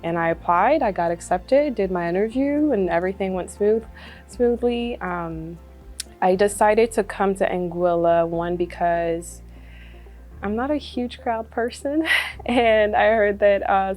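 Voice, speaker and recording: A woman's voice: medium pitch, unhurried, a little mellow. A woman in her twenties from Congo, a medical student, talking casually with many fillers and frequent laughs. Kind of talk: testimonial interview. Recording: faint music behind.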